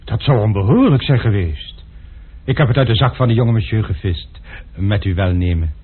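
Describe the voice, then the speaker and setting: An actor playing a sly, deferential manservant who was once a pickpocket, in a radio play with dry ironic remarks. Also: male voice, on the low side, lively intonation, normal speed, slightly rough. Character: sly, unflappable male valet, former pickpocket. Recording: muffled lo-fi archive radio play.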